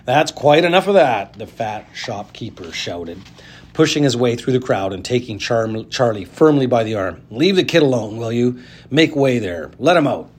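Man doing a fat shopkeeper, loud, high, frantic; husky edge. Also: normal speed. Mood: firm. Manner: high shrieking yell.

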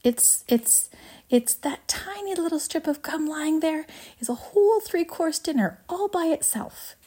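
A woman voicing an excitable, boastful male candy inventor in a storybook, with a grandiose, gushing, emphatic manner. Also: high voice, unhurried, pitched above the performer's own voice. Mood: proud, excited.

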